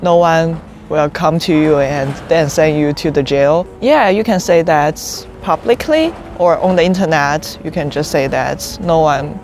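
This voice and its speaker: A woman's voice: deep voice, measured pace, few pauses, darkish tone, soft background music. A young woman in her twenties from China, a native Chinese speaker, answering questions in a casual, frank way with restarts, recorded outdoors on a rooftop terrace. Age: 20s. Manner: open, frank, with frequent restarts and laughs. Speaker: interviewee.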